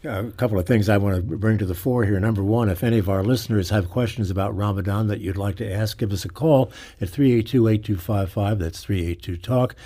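A man hosting a live radio panel interview, speaking in a halting way with repeated words. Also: lowish voice, dark tone. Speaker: radio talk show host. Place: radio studio.

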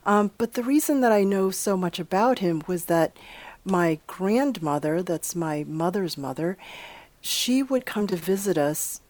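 Animated woman in her fifties telling a family story. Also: measured pace, no echo. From Boston area, USA. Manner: animated storyteller, soft laughs, fillers, pitch jumps on emphasis. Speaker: guest, ethnomusicologist and author. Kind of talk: conversational interview storytelling.

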